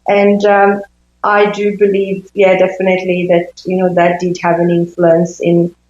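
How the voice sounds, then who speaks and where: A woman in her fifties from Sweden, a native Swedish speaker, giving reflective interview answers with thoughtful pauses in a conversational style. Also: fairly low pitch, monotone, a little mellow, reverberant, dull audio, a little hiss or hum. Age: 50s. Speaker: interview guest, intimacy coach.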